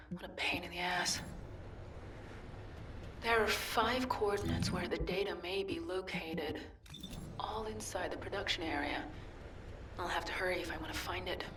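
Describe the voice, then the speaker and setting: A woman's voice: lowish voice, measured pace, long pauses, echoey room, recorded from a distance, noisy background. A woman voicing a cold, mission-driven cyborg infiltration agent in a video game, with a clipped, businesslike delivery. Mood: muttering, irritated.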